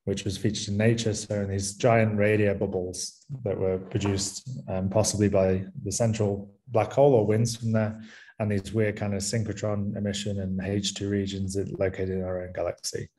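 A university lecturer with a Manchester-area English accent giving a conference talk over a video call, relaxed, with frequent ums and restarts. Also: male voice, lowish voice, normal speed, rough recording. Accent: Mancunian English. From England, near Manchester.

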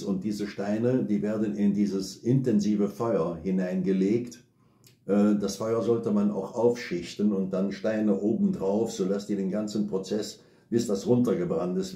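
Older man, low dark voice, patient step-by-step explainer, recorded in an echoey living room. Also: a little slow. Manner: calm, step-by-step explaining with frequent tag "ne?". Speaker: bushcraft expert and father. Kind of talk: relaxed explanatory chat.

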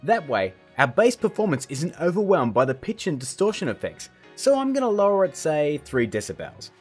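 A man in his thirties presents a casual how-to video with theatrical, joking emphasis. His voice sits a bit high, with lively swings and a husky edge. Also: moderate pace, faint music behind. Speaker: tutorial host. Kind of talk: casual, scripted video tutorial.

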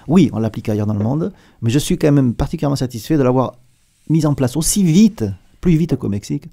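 A middle-aged man with a southern French accent talks as a guest in a radio studio, gesturing as he speaks. His voice is gravelly and dark, and he often hesitates and repeats words before moving on. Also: medium pitch, animated, quick pace. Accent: southern French, Provençal. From southern France. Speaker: guest, company director. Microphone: table mic with headphones.

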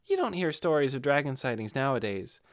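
A man voicing a quick-tongued, chatty young adventurer in a fantasy audiobook. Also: medium pitch, sing-song, no echo, studio quality, pitched above the performer's own voice, natural voice. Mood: earnest explaining.

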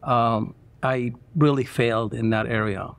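A man in his sixties from Iraq, a guest telling his faith story in warm, plain-spoken conversation. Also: medium pitch, fairly even intonation, a little slow, vocal fry, clear, resonant tone, dry recording. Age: 60s. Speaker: interview guest. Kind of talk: personal testimony in conversation. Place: dark church auditorium, indoors.

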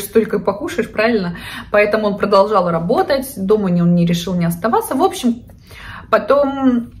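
Woman in her 30s vlogging at home, chatty and animated, talks with her hands, camera close on the desk. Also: medium pitch. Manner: chatty, expressive, gestures a lot with her hands. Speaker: vlogger, psychologist. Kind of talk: casual chat to camera. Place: living room indoors. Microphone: camera at desk distance.

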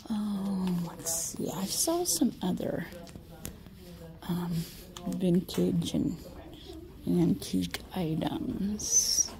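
A woman's voice: lowish voice, drawn-out words, creaky, long pauses, crowd noise, poor audio. A woman with a Midwestern American accent vlogging casually as she shops, chatty and often dropping to a whisper, filmed on a handheld phone in a large indoor store. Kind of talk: casual shop-with-me chat. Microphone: handheld phone camera.

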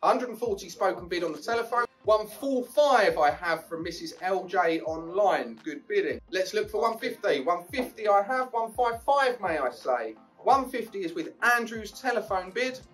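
Upbeat English auctioneer calling bids. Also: male voice, high voice, animated, a bit bright, recorded from a distance, faint music behind, rough recording. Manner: brisk, upbeat, encouraging bidders. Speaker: property auctioneer. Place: small auction room with banner backdrop. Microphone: podium mic, filmed from a distance.

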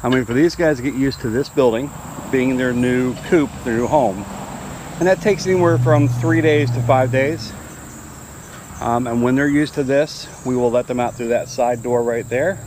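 A man filming his farmyard outdoors on a handheld phone, explaining at an easy, relaxed pace. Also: mid-range voice, moderate pace, noisy background. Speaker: homesteader showing his farm. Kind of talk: casual walk-through narration.

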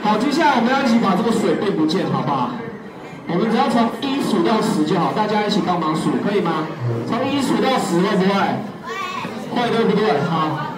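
A young man performing a magic show for children, coaxing and playful, counting out loud with exaggerated energy. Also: high voice, animated, a little fast, recorded from a distance. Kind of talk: live magic show for kids. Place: small party room at a cafe, indoors. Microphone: far camera, no mic. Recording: echoey room, recorded from afar. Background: loud music and crowd of kids.

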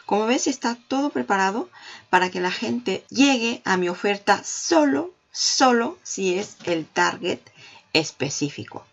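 A woman hosting a marketing tutorial, walking the viewer through software on screen and talking straight to them. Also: medium pitch, animated, a little slow, creaky, dull audio. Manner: explanatory, addresses viewer directly. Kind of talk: screen-recorded software walkthrough.

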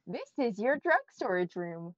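A woman's voice: medium pitch, very animated, moderate pace, dry recording, highs cut off. A woman playing a frantic, falsely cheerful ragdoll in a cartoon fandub, rambling in a nervously breezy, theatrical way.

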